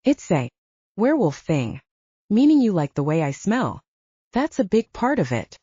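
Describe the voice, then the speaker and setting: A restrained male werewolf from a romance audiobook as a woman narrator acts him out. He is guarded and dry, with a mellow, husky voice. The recording is close and a little muffled. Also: medium pitch, very animated, moderate pace, dry recording.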